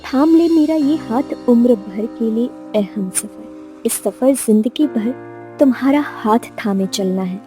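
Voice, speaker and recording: A woman's voice: a little high, takes it slowly, mellow, faint music behind, voice pushed down. A woman voicing an earnest, lovestruck young woman in an audio romance drama, tender and emotional. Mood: loving, hopeful.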